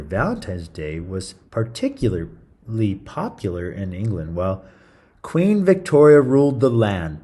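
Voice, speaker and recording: A man's voice: mid-range voice, a little mellow. A man reading a story aloud to children in a theatrical, playful style, with chatty asides. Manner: theatrical, playful, interjecting comments to young listeners.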